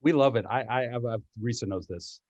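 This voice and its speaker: A man's voice: medium pitch, fairly quick, lo-fi sound, background noise. A man, an actor, speaking effusively and casually in a discussion over a video call. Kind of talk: casual post-show discussion. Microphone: laptop video call.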